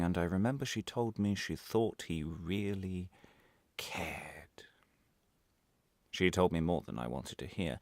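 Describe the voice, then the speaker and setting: A man performing a radio monologue as a defensive, wry prisoner recounting his arrest, halting and correcting himself with sudden emphasis. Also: low voice, no echo, clean studio sound. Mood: wry, hesitant. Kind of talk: dramatic radio monologue, confessional first-person narration.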